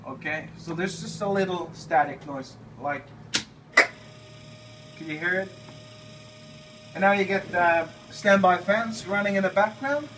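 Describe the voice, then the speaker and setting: A man from Norway in his thirties talks loosely and rambles, restarting his sentences. His voice sits a little high. The camera mic hears him from a few feet off, with a faint fan hum behind him. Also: slow pace, long pauses, distant mic. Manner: chatty, rambling, many fillers and restarts. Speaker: flight simulator builder. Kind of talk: casual video request. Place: home-built airliner cockpit simulator, indoors. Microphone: camera held by his son a few feet away.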